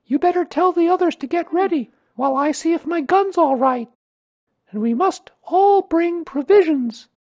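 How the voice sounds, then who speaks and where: A man's voice: extremely high pitch, moderate pace, darkish tone, no echo, lo-fi sound, pitched above the performer's own voice. A man voicing a young boy, a fond and confident leader, airy and matter-of-fact, in a children's storybook reading.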